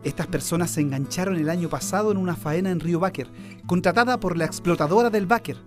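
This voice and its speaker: An actor with a Chilean accent playing an earnest, deferential newspaper reporter who explains things respectfully in a radio drama. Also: male voice, high voice, quick pace, over music, lightly acted. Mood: concerned, explanatory. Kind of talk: Spanish-language radio drama acting.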